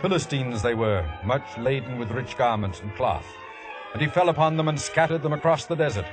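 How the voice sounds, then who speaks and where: An actor as a solemn biblical storyteller, narrating a 1950s radio drama in a stately, dramatic manner. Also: male voice, medium pitch, brisk, lightly acted. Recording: old radio broadcast with orchestral music.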